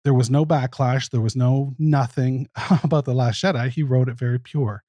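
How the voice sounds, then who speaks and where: A man's voice: medium pitch, steady tone, moderate pace, dark tone, no echo. Man, middle-range voice, podcast guest, informal musing film chat, very little room sound. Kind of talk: casual film discussion.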